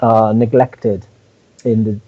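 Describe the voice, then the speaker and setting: A man's voice: on the low side, flat delivery, slow pace, dull audio. A man from Spain, a musician talking as an interview guest, hesitant with many fillers and restarts. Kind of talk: casual interview chat.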